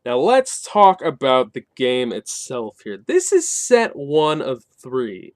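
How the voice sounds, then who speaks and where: A man's voice: mid-range voice, huge pitch swings, takes it slowly, a little mellow, dry recording, studio quality. A man hosting a casual, unscripted review, explaining things conversationally with wry asides. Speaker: reviewer and channel host.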